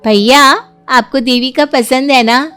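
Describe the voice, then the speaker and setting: A scheming young woman from a moral-story cartoon, acted by a woman, speaks in a high, pointed voice. She is taunting and bossy, needling her family with spite. Music plays underneath. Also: fairly even intonation, slow pace, fairly bright tone, clear, resonant tone. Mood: coaxing. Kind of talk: animated Hindi moral story dialogue.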